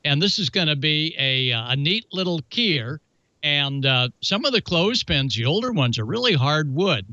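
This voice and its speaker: A man's voice: on the high side, very animated, normal speed, pushed and bright, dry recording, highs cut off. A man hosting a hobby show, talking through announcements and hands-on instructions in a folksy, chatty, playful way. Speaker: ham radio show host. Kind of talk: casual hands-on how-to demonstration with announcements.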